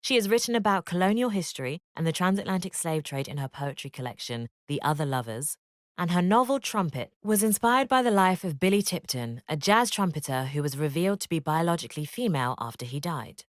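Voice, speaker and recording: A woman giving scripted educational narration in a measured, expressive read-aloud manner. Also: on the low side, no room sound, clean studio sound.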